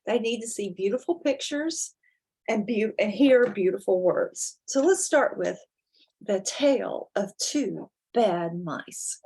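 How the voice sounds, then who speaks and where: A woman reading a children's storybook as a dramatic reading, with deliberate, theatrical emphasis. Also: medium pitch, animated, creaky, darkish tone, slightly rough. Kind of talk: expressive dramatic storybook reading with casual asides.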